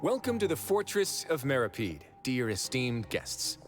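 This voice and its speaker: An actor playing a wry, laid-back duke who runs a prison in a video game, dry and casually confident. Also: male voice, medium pitch, very animated, unhurried, music in the background. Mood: wry, welcoming.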